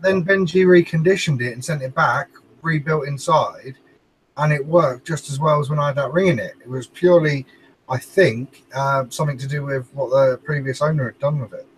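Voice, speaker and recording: Man, raised pitch, faint background noise. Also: moderate pace, poor audio. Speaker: panellist on a vaping talk show. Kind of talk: casual chat.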